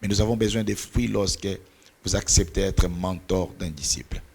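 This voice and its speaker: A middle-aged man whose first language is Portuguese talks about mentoring in church with a preacher's earnest cadence. His voice sits low and gravelly with a husky edge, and he sometimes pauses to hunt for the right word. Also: steady tone, darkish tone. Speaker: pastor and mentor.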